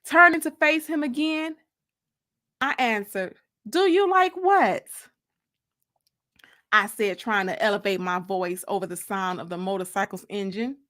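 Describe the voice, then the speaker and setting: A woman giving an expressive first-person dramatic audiobook reading, with theatrical pauses. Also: medium pitch. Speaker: author reading her own novel.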